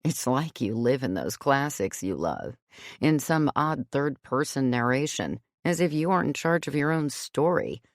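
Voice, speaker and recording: A woman's voice: very deep voice, very animated, normal speed, no echo, clean studio sound, full character voice. A woman reading voices a frank female friend with a teasing, chiding manner.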